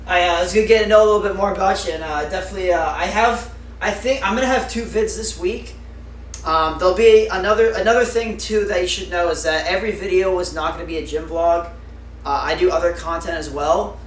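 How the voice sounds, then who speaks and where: A man's voice: high voice, recorded from a distance. A young man livestreaming, chatting casually with viewers in a rambling way full of fillers. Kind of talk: casual live-stream chat. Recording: roomy echo, off-mic, faint noise.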